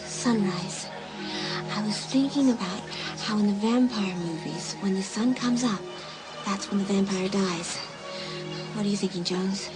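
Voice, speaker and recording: A woman's voice: mid-range voice, unhurried, music in the background, lightly acted. A woman playing an anxious, insistent teenage girl in a horror audiobook drama, earnest and questioning. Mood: earnest, uneasy.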